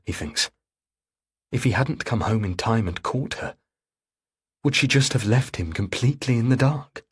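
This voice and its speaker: A man's voice: on the low side, husky. A man reading a thriller audiobook as narrator, in a dramatic scripted style.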